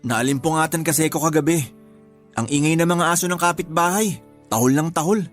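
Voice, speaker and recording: Man playing young nephew, high voice, cheeky, protesting, dismissive, radio drama, faint music. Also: brisk, natural voice. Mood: teasing. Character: young nephew and helper, exasperated but dismissive skeptic. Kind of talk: Tagalog radio drama acting.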